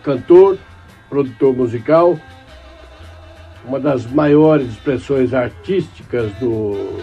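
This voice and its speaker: A man hosting a podcast, introducing his guest and asking interview questions in a measured, formal way. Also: medium pitch, highs cut off.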